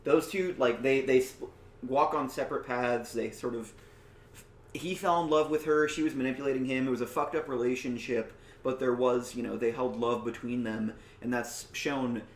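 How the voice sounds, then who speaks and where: A man's voice: mid-range voice, steady tone, normal speed. A man in his early twenties discussing a film casually as a podcast host, explaining earnestly with casual swearing. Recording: echoey room, faint background noise.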